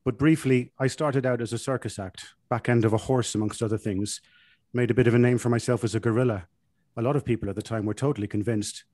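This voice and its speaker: A man reading a scripted comic monologue aloud in a deadpan, self-satisfied manner. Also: mid-range voice, quick pace, dry recording.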